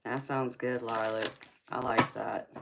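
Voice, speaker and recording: Very low-voiced, slow woman. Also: gravelly, very noisy. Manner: relaxed, chatty, laughs often, calls viewers honey and baby. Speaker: tarot reader chatting with live viewers. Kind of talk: casual live-stream chat reading comments.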